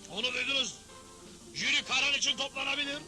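A pompous crooked Wild West judge in a cartoon, very high-pitched and declamatory. He pronounces every line like a grand verdict, over loud music. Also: fast, exaggerated voice. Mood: bossy, official. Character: pompous, theatrical crooked frontier judge who craves authority. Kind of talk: dubbed Western cartoon acting.

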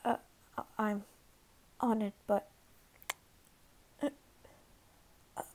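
A woman voicing a flustered, guarded but caring teenage anime boy in an ASMR roleplay, halting and stammering, sometimes whispering. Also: mid-range voice, steady tone, darkish tone, a little hiss or hum. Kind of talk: ASMR roleplay, soft scripted acting.

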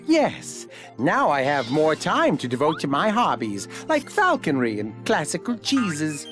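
Lilting, blissful testimonial customer. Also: male voice, a little high, huge pitch swings, a little slow. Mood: blissful, stilted. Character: dazed satisfied customer giving stilted testimonial. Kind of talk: parody infomercial testimonial in game cutscene. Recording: music behind the voice.

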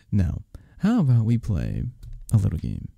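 A man playing a sleepy, affectionate, playful husband in bed, speaking softly and teasingly in an intimate ASMR roleplay, close to the mic. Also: on the low side, vocal fry, no echo, full character voice. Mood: tender, playful. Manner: soft, drowsy, teasing, unhurried. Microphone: close, dry microphone.

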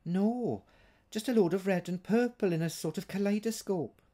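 A man's voice: high voice, moderate pace, mellow, voice pushed up, lightly acted. A man voicing a haughty, sulky witch woman who talks in an indignant, fretful way in a storybook reading. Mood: frustrated.

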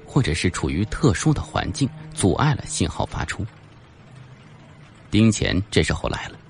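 A man reading a scripted suspense novel as an audiobook narrator, with a dramatic storytelling delivery. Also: low-pitched.

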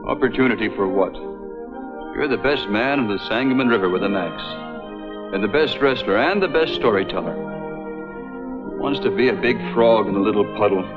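A man's voice: fairly low pitch, animated, brisk, long pauses, music in the background, lightly acted. An actor playing a skeptical, teasing frontier working man in a 1950s educational film, folksy and wry. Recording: muffled old 16mm film soundtrack.

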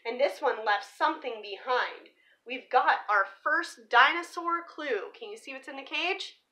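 A young woman speaks to children in a high, animated voice. Her tone is bright and forward, with expressive emphasis on key words, in the manner of a teacher reading a picture book aloud. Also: a little slow, low-quality recording. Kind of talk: storybook read-aloud and presentation for children. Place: small living room or room at home, indoors.

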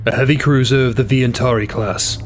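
A man's voice: mid-range voice, fairly even intonation, fairly quick, soft background music, close to an everyday voice. Man acting commanding sci-fi military marshal. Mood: stern, official. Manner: formal, commanding. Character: brisk, authoritative military marshal in space opera. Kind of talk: science fiction audio drama acting. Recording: faint background ambience noise.

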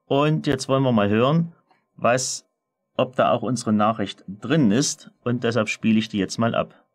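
A man presenting a software screencast tutorial, explaining methodically step by step in a semi-spontaneous walkthrough. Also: mid-range voice, normal speed.

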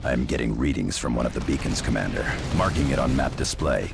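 A battle-weary space marine in a video game. The voice sits extremely low, rough with gravel. He is grim and loyal, and music plays behind him. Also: a little fast, heavily acted. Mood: businesslike. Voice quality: very deep, gravelly. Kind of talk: dramatic video game acting.

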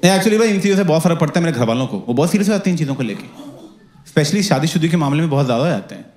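A young man performing a stand-up comedy set into a handheld mic, telling stories in a playful, animated way. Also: on the high side, racing. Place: comedy stage with live audience. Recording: roomy club sound with loud crowd laughter.

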